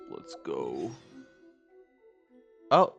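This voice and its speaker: A woman with a very low voice comments casually over a game. Intonation is lively and the manner slangy and giggly, with game music playing behind. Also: gravelly. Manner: giggly, slangy, reacting in short remarks. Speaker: game streamer. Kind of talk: casual gameplay commentary and line reading.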